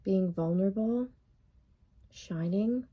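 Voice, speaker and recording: Husky, dark-voiced woman reading tarot. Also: medium pitch. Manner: musing, unhurried, many fillers. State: losing her voice. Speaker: tarot reader. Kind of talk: casual tarot reading to camera.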